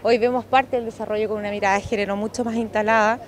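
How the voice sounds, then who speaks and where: A woman's voice: mid-range voice, fairly even intonation, fast talker, background noise. A Chilean woman speaking formally in an outdoor interview into handheld mics. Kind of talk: on-camera interview. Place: outdoor fair. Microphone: handheld news mics.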